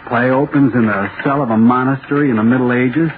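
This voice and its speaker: Haunted playwright, played by a male actor: frantic, repetitive, theatrical 1930s horror radio, mid-pitched, thin noisy transmission. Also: brisk, phone-quality sound, natural voice. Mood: dramatic recitation. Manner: stammering, repeating himself frantically. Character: terrified radio playwright haunted by his own monster.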